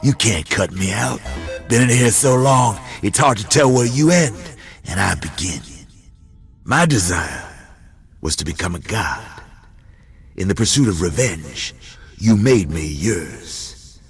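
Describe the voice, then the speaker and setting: Game villain: self-proclaimed god, low, slow, mocking and menacing. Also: male voice, expressive, creaky, husky, no echo, faint music behind, exaggerated voice. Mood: mocking, cold. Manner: slow, taunting, theatrical drawl. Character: taunting, self-styled god, menacing cold-blooded killer, male. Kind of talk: dramatic video game villain monologue.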